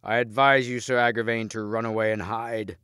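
A man's voice: lowish voice, level tone, a little fast, bright, forceful tone, smooth, no room sound, clean studio sound. A man voicing a bold, defiant heroic knight with a commanding, declamatory delivery for a story.

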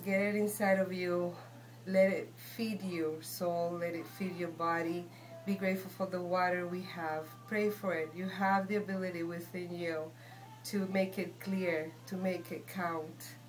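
Lowish-voiced Guatemalan woman, earnest and halting. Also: level tone, soft background music, rough recording. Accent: Guatemalan Spanish. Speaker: shaman and healer, guest. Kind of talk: informal spiritual talk. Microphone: phone handed over.

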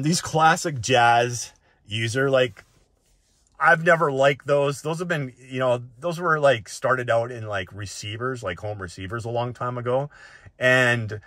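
A man's voice: medium pitch, fairly bright tone, no echo, studio quality. A man talking casually and off the cuff through a device tutorial, conversational and often checking in with the listener. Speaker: tech reviewer giving a device walk-through. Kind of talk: casual, unscripted tutorial talk.

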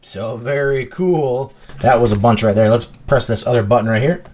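A man's voice: mid-range voice, moderate pace, a little mellow. A man hosting a toy review, demonstrating the product in an easygoing, chatty way. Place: indoor tabletop toy video set.

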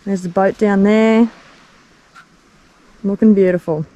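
A woman's voice: mid-range voice, steady tone, slow pace, warm tone, dull audio. A young Australian woman chatting casually for a vlog outdoors, laid-back, upbeat and teasing. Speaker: camping and fishing vlogger. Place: outdoors on an open beach. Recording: noisy outdoor camera audio.

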